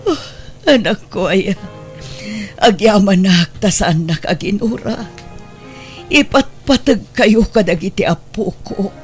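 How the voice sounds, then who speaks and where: A woman's voice: mid-range voice, animated, slow, pauses often, darkish tone. Woman acting doting older Ilocano mother, music behind. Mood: remorseful, pleading. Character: older mother and doting grandmother, anxious, remorseful. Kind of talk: Ilocano radio drama acting.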